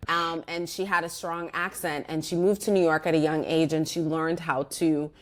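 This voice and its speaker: A woman's voice: deep voice, steady tone, normal speed. A woman in an interview conversation, talking reflectively in loose sentences with frequent restarts and fillers. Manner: reflective, speaks in loose restarts with many "like" and "you know". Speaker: guest, organizational psychologist.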